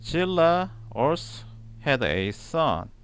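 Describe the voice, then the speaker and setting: A man reads scripture aloud extremely slowly, breaking it into short phrases with long pauses. His voice sits a little high, with a lively rise and fall in pitch. The delivery is halting and deliberate. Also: clear, resonant tone. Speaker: Bible reader, channel host. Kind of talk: slow read-aloud of scripture.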